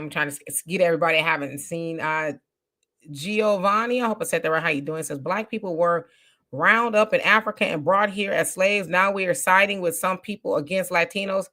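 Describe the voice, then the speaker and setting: A woman hosting a casual livestream, reading viewer comments aloud and talking back with lively, mocking asides. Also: medium pitch. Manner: animated, mocking voices when quoting others.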